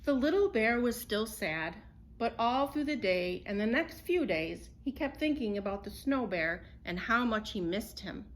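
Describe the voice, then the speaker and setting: A woman storyteller reading a children's picture book aloud in an expressive, unhurried way. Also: a little high, poor audio.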